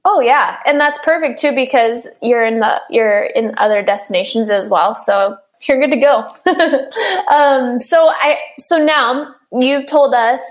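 A woman's voice: a little high, a little slow. A woman hosting an interview, talking in a bubbly, giggly way with lots of fillers. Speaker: podcast host.

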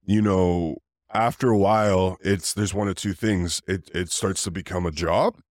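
A man who hosts a podcast, talking casually in a deep voice, rambling with fillers and restarts. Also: fairly even intonation, normal speed, a little mellow, no room sound, clean studio sound. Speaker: podcast host. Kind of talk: casual interview chat.

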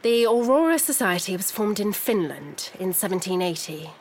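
A woman's voice: mid-range voice, expressive, moderate pace, a little mellow, a little hiss or hum, close to an everyday voice. Woman acting a refined, determined 1930s wife. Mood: composed, informative. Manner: formal, articulate, clipped politeness. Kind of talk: scripted period noir audio drama acting.

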